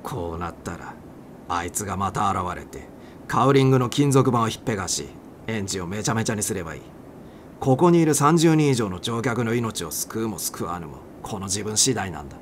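A man's voice: on the low side, lively intonation, a little fast, lightly acted. Man acting snappish, panicked airline passenger. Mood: bitter, brooding. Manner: snappish, agitated, brooding. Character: panicked, bitter, angry male airline passenger. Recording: clear background noise.

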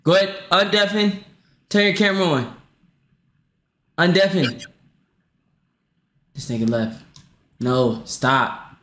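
Dude streamer roasting people on call, swears a lot, sounds kinda roomy. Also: male voice, sits high, slow, long pauses, a little hiss or hum. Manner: loud, profane, teasing, bursts of shouting laughter. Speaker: streamer host. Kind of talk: casual stream banter.

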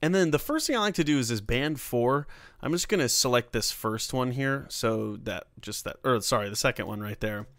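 A man hosts an informal software tutorial in a mid-range voice. He talks through the steps as he works, in a casual way. The voice-over is close and dry, with little room sound. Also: medium pitch, moderate pace, no echo. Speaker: tutorial host.

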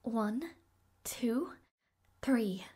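A woman's voice: a little high, very slow, breathy edge, exaggerated voice. A woman playing a devoted young maid of noble birth in an audio roleplay, speaking politely and formally, with shy hesitations. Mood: gentle, wistful. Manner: polite, soft-spoken, flustered hesitations, formal diction. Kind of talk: audio roleplay addressed to the listener.

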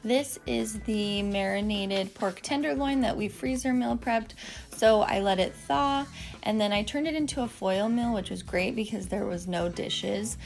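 A woman who hosts a cooking vlog, talking through recipes in a relaxed, chatty voice-over with the odd um. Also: medium pitch, unhurried, gravelly, faint music behind. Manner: chatty, relaxed step-by-step instructions with ums. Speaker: cooking vlogger. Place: home kitchen.

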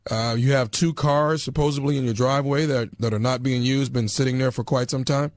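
A man playing a stern, bureaucratic neighborhood enforcement official with a halting, insistent manner on a prank call. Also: mid-range voice, steady tone, close to an everyday voice. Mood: officious, measured. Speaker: prank caller.

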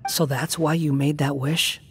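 An actor playing a blunt, aloof young man in a video game, talking in a dry, measured way with a hidden soft side. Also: a little high, steady tone, background noise. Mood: blunt, sympathetic. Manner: measured, dry, quietly sympathetic. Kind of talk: video game dialogue acting.

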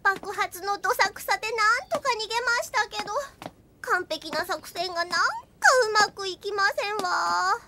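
A woman voicing a flustered, scheming little anime girl who talks in prim, ladylike phrases and gets excitable. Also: extremely high pitch, normal speed, a little hiss or hum, exaggerated voice. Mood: dismayed. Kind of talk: anime comedy audio drama acting.

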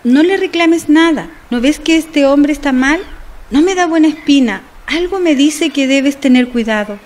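An educated woman with a very high voice. She is a caring friend in a radio soap opera who speaks earnestly, as if steering someone away from trouble. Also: animated, moderate pace, darkish tone. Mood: concerned, advising. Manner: earnest, cautioning.

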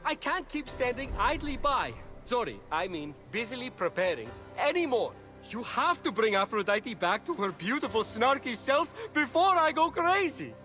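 High, bright, fussy young god. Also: male voice, very animated, moderate pace, hardly any pauses, soft background music, heavily acted. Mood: fretful, snippy. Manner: fretful, snarky, theatrical. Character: fussy, sassy young male messenger god. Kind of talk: video game cutscene acting.

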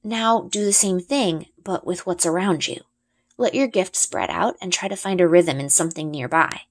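A woman's voice: fairly low pitch, moderate pace. A woman voicing an easygoing, dry-humored young boy in a storybook, explaining things casually and a bit hesitantly. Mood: patient explaining.

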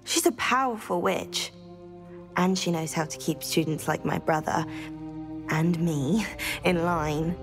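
A young woman with a British accent playing a gentle, weary, resigned teenage witch in a video game, speaking softly. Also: on the low side, a little slow, pauses often. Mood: polite, weary. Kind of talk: video game character dialogue. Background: background music.

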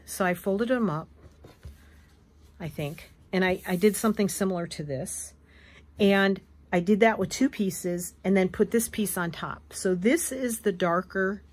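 A woman hosting a craft video, hoarse and wheezy from allergies, chatting casually as she shows fabric at a table. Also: on the low side, darkish tone. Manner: chatty, rambling, self-correcting. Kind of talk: casual show-and-tell chat. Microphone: camera above a tabletop, speaker off frame.

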